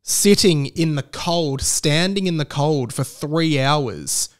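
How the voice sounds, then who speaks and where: A man's voice: fairly high pitch, takes it slowly. A man with an Australian accent hosting a podcast solo, talking casually in an enthusiastic, rambling way. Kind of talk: casual chatty monologue.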